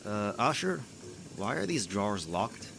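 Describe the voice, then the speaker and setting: A man's voice: fairly low pitch, big pitch swings, measured pace, creaky, fairly bright tone, a little hiss or hum, heavily acted. A man playing a weary, grumbling station doctor in a scripted audio drama, hesitant and easily exasperated.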